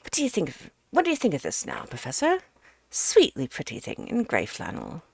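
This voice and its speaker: A woman reading aloud, voicing a hearty, bossy, bustling middle-aged Englishman who talks loud and brisk. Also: on the low side, wildly swooping pitch, vocal fry, slightly rough, no echo, heavily acted.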